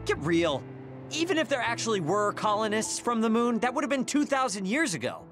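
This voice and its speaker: Brash high-pitched young male skeptic. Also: very animated, a little fast, over music. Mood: doubting, irritated. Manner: blunt, challenging. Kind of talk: video game cutscene voice acting.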